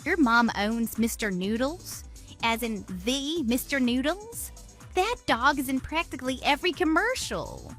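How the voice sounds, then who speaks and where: A woman's voice: high-pitched, lively intonation, measured pace, a bit bright, soft background music. A woman playing a greedy, haughty, easily shocked mistress in a scripted audio drama. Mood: shocked, incredulous. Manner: haughty, gloating, incredulous. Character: smug, greedy, gold-digging young woman, easily shocked.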